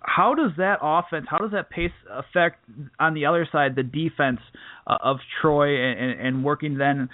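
Higher-voiced man hosting a halting sports interview. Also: no room sound, phone-quality sound. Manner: halting, repeats words while building long questions.

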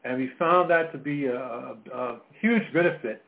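A man in his late seventies hosts a holistic health radio show over a narrow, tinny phone line. He talks at a middling pitch in a halting way, with lots of "uh"s and repeated words. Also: vocal fry. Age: 70s. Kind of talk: talk radio monologue.

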